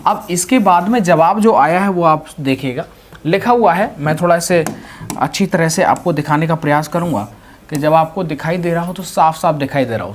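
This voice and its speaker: A woman giving an informal explainer to viewers as host, reading out and explaining in a repetitive, direct way. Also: on the low side. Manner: repetitive, explanatory, direct address with verbal tags. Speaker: host of exam update videos.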